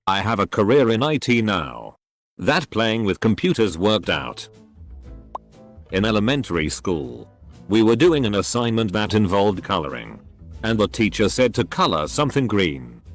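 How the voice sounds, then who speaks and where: A man narrating a string of short posts in a scripted reading, saying letters and punctuation out literally. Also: fairly low pitch. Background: faint background music.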